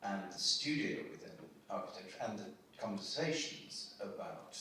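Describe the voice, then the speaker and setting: A man's voice: on the low side, expressive, normal speed, sharp tone, slight background noise. A man, an architect, speaking thoughtfully and hesitantly on a panel in a lecture hall. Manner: hesitant, thoughtful, many fillers. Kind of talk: panel discussion talk. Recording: echoey hall from far off.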